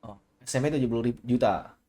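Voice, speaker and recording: Guy streamer on a video call, teasing and joking around, mid pitch. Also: warm tone, a little hiss or hum. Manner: teasing, quick comebacks. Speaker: streamer host. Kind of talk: joking video chat banter.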